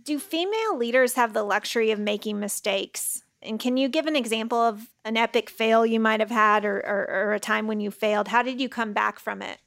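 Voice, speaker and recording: Young woman host w a high voice, lots of vocal fry, earnest questions. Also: a little fast, hardly any pauses, fairly bright tone. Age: young adult. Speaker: interviewer.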